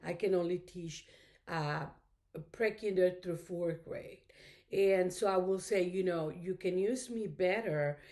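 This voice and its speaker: A middle-aged woman with a somewhat low voice, whose first language is Spanish. She talks casually to camera, rambling and thankful, leaning on "you know" as she goes. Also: measured pace, a little mellow. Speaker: craft vlogger.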